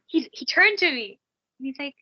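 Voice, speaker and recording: A woman from Canada, a singer and podcast guest, telling an animated anecdote and laughing often. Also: sits high, moderate pace, no room sound, highs cut off. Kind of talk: casual anecdote in an interview.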